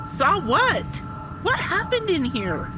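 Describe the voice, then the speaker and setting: Female scientist, high voice, very expressive, sharp and urgent, audio drama, noisy background. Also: slow pace, heavily acted. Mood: alarmed concern. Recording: loud background ambience under voice.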